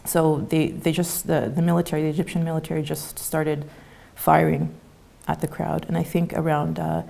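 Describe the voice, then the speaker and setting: Interview guest, woman in her thirties, gravelly low voice, hesitant and soft-spoken. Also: flat delivery. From Canada. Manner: soft-spoken, halting, many fillers. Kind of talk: conversational interview.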